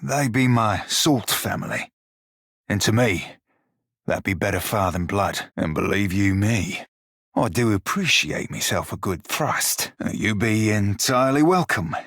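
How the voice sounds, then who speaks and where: A salty pirate shiphunter from a fantasy video game, gruff on the outside and soft at heart. His voice sits fairly low and is recorded close and dry. He talks in a folksy, irreverent pirate dialect full of old sailor's turns of phrase. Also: expressive, measured pace, no room sound, full character voice. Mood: sincere, fond. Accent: stylized pirate dialect. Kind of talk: video game character dialogue.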